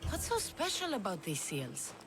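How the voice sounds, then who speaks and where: A woman's voice: medium pitch, huge pitch swings, normal speed, music in the background. An actress playing a bold, wry, blunt female mercenary in a video game cutscene. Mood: wry, businesslike.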